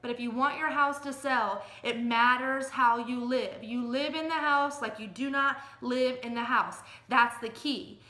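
A woman's voice: a little high, a little slow, hardly any pauses, sharp tone. A middle-aged American woman giving casual, folksy advice to camera as a real estate agent, speaking emphatically and plainly. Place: small furnished room at home or office, indoors. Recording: echoey room sound.

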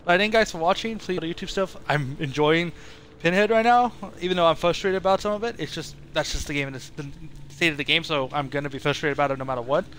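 A man with a fairly high voice talks very quickly over some background noise. He sounds like a streamer chatting off the cuff to viewers. His sentences ramble and he often corrects himself midway. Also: a bit bright. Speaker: gaming streamer and video creator. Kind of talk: casual chat, video outro. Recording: noisy livestream capture.

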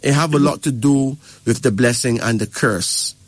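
A man's voice: medium pitch, moderate pace, no room sound. Lecturing man, dry sound. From Barbados. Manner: lecturing, keeps checking with "right?" and "okay?". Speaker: spiritual advisor. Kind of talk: casual advice talk.

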